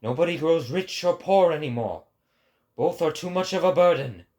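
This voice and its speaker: A man voicing a lofty, sorrowful prophet who preaches a grave warning in a deliberate, declamatory dramatic reading. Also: a little high, expressive, darkish tone, natural voice. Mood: scornful, declamatory. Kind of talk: dramatic philosophical oration.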